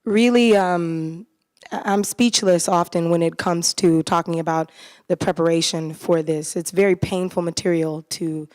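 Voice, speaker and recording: A woman's voice: fairly low pitch, fairly even intonation, moderate pace, darkish tone, dry recording. A woman from the United States, a cast member speaking earnestly and from the heart at a post-show talkback on a theater stage. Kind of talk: post-show panel talk.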